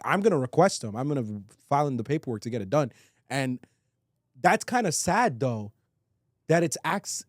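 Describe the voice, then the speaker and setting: A man's voice: a little high, a little mellow, no echo, clean studio sound. A man talking casually and with opinions as a podcast co-host, slangy and emphatic, swearing and repeating phrases for weight.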